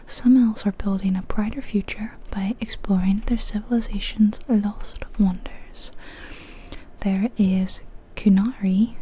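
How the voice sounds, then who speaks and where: A woman whispering breathily and gently close to the mic in an unhurried, chatty ASMR game commentary. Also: medium pitch, a little hiss or hum. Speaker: ASMR video game streamer.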